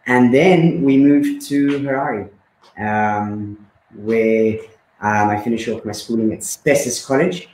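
Man from Zimbabwe, thirties, relaxed talk with fillers, roomy sound. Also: on the low side, slow, a little hiss or hum. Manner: relaxed, with many fillers and repeats. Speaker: guest. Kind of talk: casual conversation.

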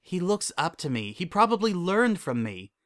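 An anxious young mare voiced by a man talks in a raised pitch. She is an earnest, self-doubting student pony from a storybook. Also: very animated, normal speed, a bit bright, no room sound, studio quality. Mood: guilty, embarrassed. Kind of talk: storybook character dialogue.

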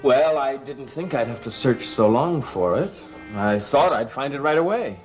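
An actor in his thirties playing an earnest, troubled gentleman in a gothic soap opera, with an intense, deliberate manner. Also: medium pitch, animated, natural voice, rough recording. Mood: guarded. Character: earnest, troubled young gentleman guarding a secret. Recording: vintage TV soundtrack with music underneath.

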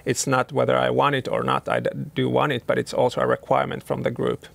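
A man's voice: medium pitch, monotone, fast, gravelly, fairly bright tone, dry recording. A man in his thirties, a company CEO, answering panel questions in a hesitant way with many restarts and fillers. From Finland.

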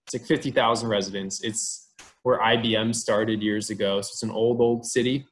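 A man's voice: on the low side, fairly even intonation, moderate pace. A man, a college admissions officer, presenting on a video call panel in a friendly, conversational way.

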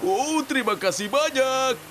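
A flustered middle-aged cartoon neighbour speaks very high and swoops dramatically. His whiny, exclamatory words are drawn out over music. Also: expressive, unhurried, background noise. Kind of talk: dubbed children's cartoon acting. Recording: music playing underneath.

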